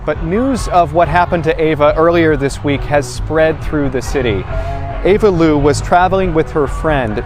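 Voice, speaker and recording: American male TV reporter, scripted delivery, raised pitch, clear music bed underneath. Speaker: television news reporter.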